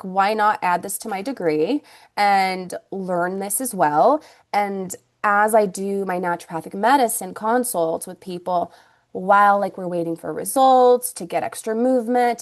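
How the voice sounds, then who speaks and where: Interview guest: woman in her thirties, Russian first language, from Ukraine, chatty storyteller with lots of fillers. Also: mid-range voice. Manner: chatty, many fillers and restarts. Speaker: guest, naturopathic doctor and acupuncturist.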